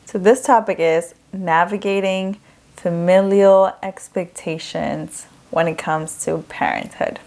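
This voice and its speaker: A woman's voice: fairly low pitch, takes it slowly, gravelly, darkish tone. A young woman from Ecuador hosting a podcast, talking in a candid, casual way. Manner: chatty, candid, with frequent fillers. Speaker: podcast host. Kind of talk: casual solo podcast talk.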